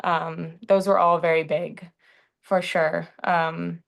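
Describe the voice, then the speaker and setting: A young woman from Hawaii speaking earnestly in a panel talk, often pausing with "um" between thoughts. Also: on the low side, little pitch movement, creaky. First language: English. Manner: earnest, frequent "um" fillers. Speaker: panel facilitator.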